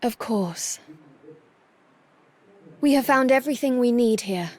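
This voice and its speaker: Formal, stoic warrior goddess, slightly high. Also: female voice, expressive, a little mellow. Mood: solemn, detached. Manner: formal, measured, detached. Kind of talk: video game cutscene acting.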